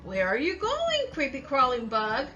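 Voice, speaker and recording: A woman's voice: high-pitched, huge pitch swings, a little slow, bright, forceful tone, highs cut off, soft background music, full character voice. A woman voicing a puzzled, incredulous grasshopper in a storybook, exclaiming and questioning in disbelief.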